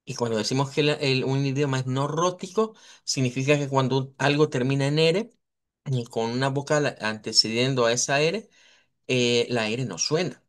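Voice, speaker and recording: A man teaching a live online language class. He explains at length, models sounds slowly and often checks in with his students. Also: mid-range voice. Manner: checks in with students, asks "verdad".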